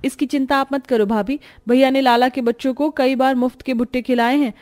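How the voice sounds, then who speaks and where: A woman's voice: high-pitched, fairly even intonation, brisk, a little mellow, pitched above the performer's own voice, natural voice. A woman voicing a hopeful, persuasive young sister-in-law in a cartoon story, earnest and upbeat. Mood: eager, persuasive. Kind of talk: cartoon story character dialogue.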